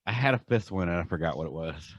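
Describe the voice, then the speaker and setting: A man's voice: low voice, expressive, fast, a bit bright, no echo, dull audio. A man co-hosting a podcast in casual chat, informal and slangy with strong opinions. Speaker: podcast co-host.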